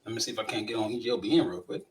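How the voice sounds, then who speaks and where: A man's voice: lowish voice, fairly even intonation, very fast, a little mellow. A man with an American accent chatting informally and agreeably as a podcast co-host. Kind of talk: casual podcast chat.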